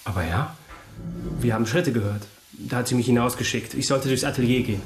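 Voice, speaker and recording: A man's voice: medium pitch, fairly quick, faint music behind, natural voice. An actor playing an awkward, naive young man in a German radio drama, hesitant and sheepish. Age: young adult. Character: awkward, sheepish twenty-year-old lad, naive and evasive.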